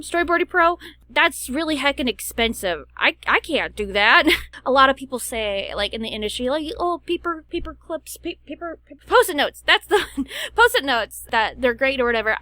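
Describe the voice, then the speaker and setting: Casting: young woman animator vlogger, higher voice, goofy and self-deprecating, dry close-mic voice-over. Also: sharp tone, dry recording. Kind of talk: casual chatty voice-over about own art process.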